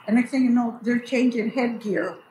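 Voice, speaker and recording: A woman's voice: fairly high pitch, fairly even intonation, moderate pace, dark tone. Older woman reminiscing in a noisy, echoey space. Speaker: storyteller guest, grandmother. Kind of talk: casual reminiscing. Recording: echoey space with background noise.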